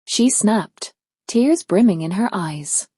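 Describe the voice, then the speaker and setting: A woman's voice: medium pitch, lively intonation, slow, warm tone, husky, dry recording, clean studio sound. A woman narrating a scripted storybook tale as a storyteller.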